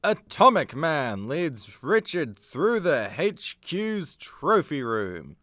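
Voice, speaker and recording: Measured, lively-pitched man narrating. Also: no room sound. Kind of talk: scripted radio play narration.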